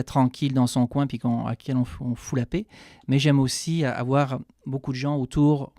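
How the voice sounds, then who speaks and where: Frenchman from France, chef guest on radio, frank and halting, dry booth sound. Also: mid-range voice, darkish tone, dry recording. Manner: candid, hesitant with frequent restarts. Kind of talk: radio interview answers.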